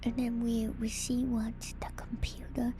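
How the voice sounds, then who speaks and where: A woman streamer whispering softly and breathily close to the mic in an ASMR style, gently repeating words as she reads and comments. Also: fairly high pitch, monotone, unhurried, a little hiss or hum. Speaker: VTuber streamer.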